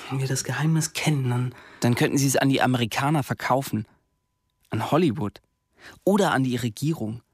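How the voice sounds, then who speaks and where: A man's voice: mid-range voice, brisk, breathy edge, no room sound, clean studio sound. An actor playing an earnest, inquisitive young man in a radio drama, delivering terse short phrases. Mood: intent, narrating. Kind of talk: radio drama narration and dialogue.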